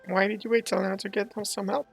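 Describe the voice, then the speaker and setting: A man's voice: high-pitched, level tone, brisk, creaky, soft background music. A man doing casual, incredulous, bantering commentary as a gaming streamer, close on the mic.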